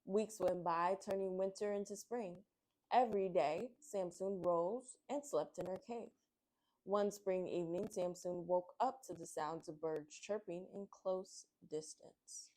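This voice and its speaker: A woman's voice: fairly low pitch, measured pace, warm tone, low-quality recording. A woman hosting a children's read-aloud, addressing listeners as friends and reading picture books expressively.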